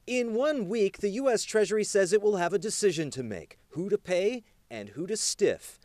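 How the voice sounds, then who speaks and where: A man reading scripted television news as a reporter and anchor, with a punchy, emphatic delivery and dramatic pauses. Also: high-pitched, lively intonation, a little mellow.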